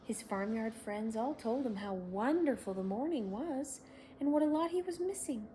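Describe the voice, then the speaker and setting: A woman hosting a children's read-aloud, telling a bedtime story in a gentle, expressive way. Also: fairly high pitch, normal speed, slight background noise, rough recording. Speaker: children's story reader and host.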